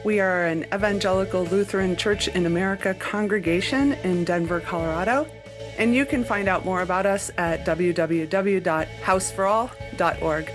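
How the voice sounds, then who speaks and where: Woman announcer, lower register, steady scripted intro with music bed behind. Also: moderate pace. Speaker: podcast announcer.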